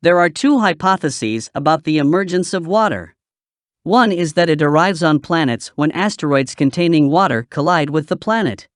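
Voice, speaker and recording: Slightly high-voiced man reading aloud. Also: lively intonation, moderate pace, no echo, studio quality. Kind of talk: scripted read-aloud narration for exam practice.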